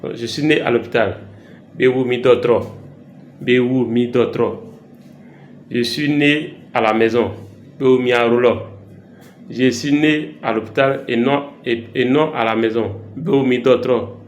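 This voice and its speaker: A man with an Ivorian French accent teaching a casual language lesson, slow and repetitive with frequent hesitations. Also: mid-range voice, fairly even intonation. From Côte d'Ivoire. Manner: slow, repeats words for learners, frequent hesitations. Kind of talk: informal language lesson vlog. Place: travelling, recorded in an echoey room. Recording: echoey room, faint background hiss.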